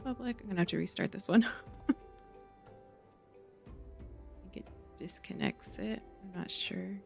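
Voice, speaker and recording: A woman game streamer talks in a chatty, playful way with some creak in her voice. She keeps up casual livestream talk and greets her viewers, with faint music behind her. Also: mid-range voice, fairly quick, darkish tone. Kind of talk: casual livestream chat, reading game dialogue aloud. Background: faint background music.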